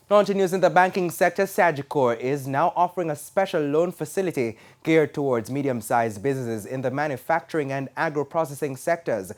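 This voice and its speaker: A man anchoring a scripted business news bulletin in a formal broadcast style. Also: on the high side. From Jamaica.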